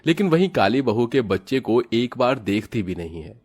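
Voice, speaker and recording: A man narrating an animated moral tale for children in a scripted storytelling style. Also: medium pitch, normal speed, breathy edge.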